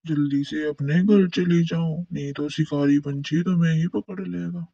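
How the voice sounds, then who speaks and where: A woman's voice: deep voice, fairly even intonation, normal speed, gravelly, mellow, pitched below the performer's own voice. A woman voicing an anxious male crow who warns others in an urgent tone. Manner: urgent, warning. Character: friendly male crow, hurried and worried. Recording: dull, muffled, from off-mic.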